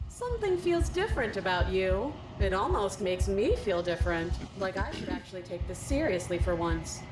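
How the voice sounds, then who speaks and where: Animated, echoing duelling princess, acted by a woman. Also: mid-range voice, normal speed, close to an everyday voice. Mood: intrigued, admiring. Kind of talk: visual novel voice acting. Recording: echoing game voice over music.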